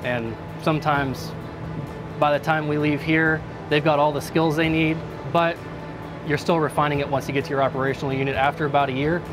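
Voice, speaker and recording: A man's voice: on the high side, steady tone, fairly quick, music in the background. A man in his thirties explaining a control station in a casual, plain-spoken way, with fillers, recorded inside a small equipment-filled room. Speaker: sensor operator explaining a drone cockpit.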